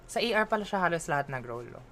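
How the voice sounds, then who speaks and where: A woman's voice: lowish voice, animated, rapid-fire, fairly bright tone, a little hiss or hum. Playful Filipino woman streaming a game. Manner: mixes English and Filipino, playful, teasing asides. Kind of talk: casual livestream chat while gaming.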